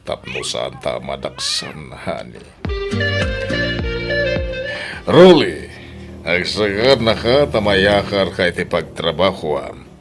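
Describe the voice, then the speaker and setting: A man's voice: deep voice, big pitch swings, a little slow, creaky, music in the background, heavily acted. A man playing an indulgent, secretive older boss and married lover in an audio drama. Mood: businesslike. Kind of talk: Ilocano radio drama acting.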